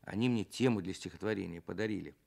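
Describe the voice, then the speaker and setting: A man telling stories and reciting children's poems in an expressive, theatrical way, as a writer on a programme for children. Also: deep voice, quick pace, gravelly. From Russia.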